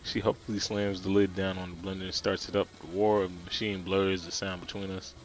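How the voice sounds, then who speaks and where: A man's voice: low-pitched, little pitch movement, brisk, faint music behind, rough recording. A man narrating a visual novel for a let's play, reading aloud with stumbles and playful asides.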